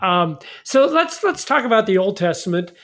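A man's voice: sits high, sing-song, moderate pace, fairly bright tone. A man leading a conversational Bible study in a folksy, informal way, often putting leading questions to his partner. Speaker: host of a Bible study discussion.

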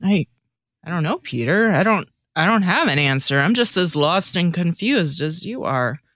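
A woman's voice: lowish voice, lively intonation, normal speed, a bit bright, no room sound, clean studio sound. A woman acting an anxious, caring, awkward male disciple in a radio sitcom, comic and slangy. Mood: consoling. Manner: comic, hesitant, casual modern slang.

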